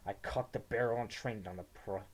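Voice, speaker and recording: A young man giving a somber dramatic reading of first-person fiction, his phrases sometimes dropping to a hush. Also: on the low side, steady tone, a little fast. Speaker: student reader.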